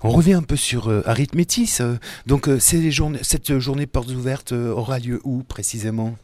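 A man's voice: medium pitch, moderate pace, darkish tone, no echo. Radio host guy asking stuff, kinda hesitant, average voice. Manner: questioning, hesitant with fillers. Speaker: interviewer. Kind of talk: local radio interview.

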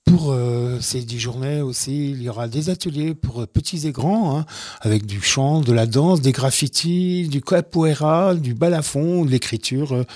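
A man's voice: medium pitch, moderate pace, a little mellow, no room sound. A man interviewing a guest, asking long questions with fillers. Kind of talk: radio interview.

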